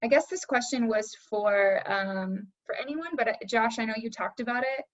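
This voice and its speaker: A woman's voice: fairly high pitch, moderate pace. A woman moderating an online panel question session, speaking informally with some hesitant phrasing. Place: remote video call. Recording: dull, muffled online-call audio.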